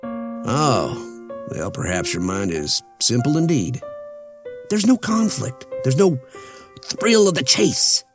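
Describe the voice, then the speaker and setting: An actor in an audio drama playing a gloating, taunting mad-scientist serial killer with drawn-out, theatrical delivery. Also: male voice, medium pitch, big pitch swings, over music. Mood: taunting, excited.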